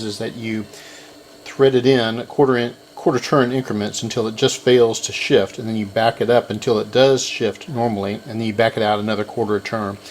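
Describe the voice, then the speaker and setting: A man's voice: mid-range voice, a little hiss or hum. A man giving a casual repair demonstration, talking through each step as he works. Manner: talks through each step while working. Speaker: repair channel host.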